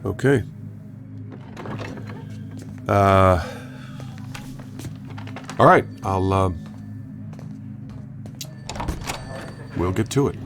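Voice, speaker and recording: Cautious, low-voiced radio reporter. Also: male voice, gravelly, over music, full character voice. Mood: uneasy, resigned. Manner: hesitant, placating, halting. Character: cautious, conciliatory male local radio reporter. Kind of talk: naturalistic audio drama acting.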